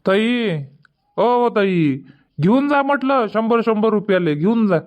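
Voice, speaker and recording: A man plays a street vendor in a comedy cartoon, coaxing buyers with his calls. His voice is high for a man and. The sound is dull and lo-fi. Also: animated, takes it slowly. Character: male festival market vendor hawking his wares. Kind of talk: dubbed comedy cartoon dialogue.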